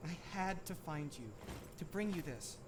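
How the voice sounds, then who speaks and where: An actor playing an earnest, hesitant young village boy in an animated fairy tale. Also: sits high, moderate pace, natural voice. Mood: urgent, grateful. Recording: noisy ambience behind the voice.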